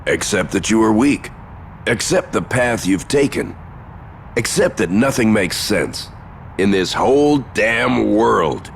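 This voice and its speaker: An actor playing a cocky, taunting but gruffly fond middle-aged father and warrior in a fantasy video game, with a gravelly voice and a swaggering, blunt manner. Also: fairly low pitch, animated, slow pace, a little hiss or hum, exaggerated voice. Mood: taunting, stern.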